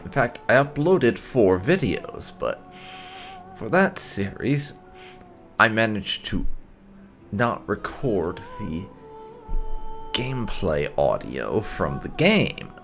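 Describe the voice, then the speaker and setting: A man hosting a gaming let's play, talking casually in deliberate, halting phrases. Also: on the low side, big pitch swings, fairly bright tone, soft background music. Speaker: host of a gaming channel.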